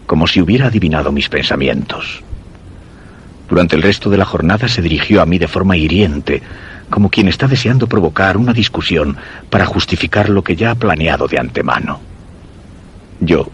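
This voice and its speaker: Deep-voiced, brooding ailing husband. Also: male voice, slight background noise. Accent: Castilian Spanish. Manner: measured, literary, brooding delivery. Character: ailing, suspicious bedridden husband telling his macabre tale. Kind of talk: Spanish radio suspense drama narration.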